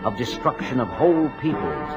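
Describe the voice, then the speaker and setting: A man reading a solemn, measured prologue. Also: mid-range voice, big pitch swings. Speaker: prologue narrator. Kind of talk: scripted narration. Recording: dull old recording with music.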